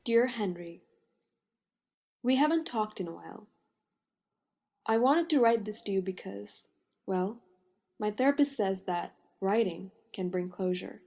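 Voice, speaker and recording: A woman's voice: medium pitch, expressive, measured pace, pauses often. A young woman playing a heartbroken young woman who reads a letter to her lost love in a reflective, tender voice-over. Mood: wistful. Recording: loud guitar music behind voice. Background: loud plucked guitar music.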